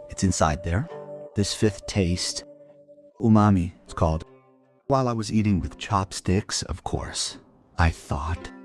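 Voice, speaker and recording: Male actor for world-weary investigator, crime radio drama, deep register, deliberate and reflective, faint music underneath. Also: natural voice. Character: world-weary male police investigator recounting a murder case. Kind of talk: German crime radio play acting, narrated monologue.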